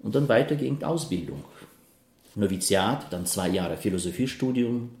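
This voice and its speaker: A man in his fifties from Ukraine, a priest, speaking German with a Ukrainian accent in halting interview answers with frequent fillers. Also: lowish voice, expressive, darkish tone. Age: 50s. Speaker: Salesian priest. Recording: roomy echo.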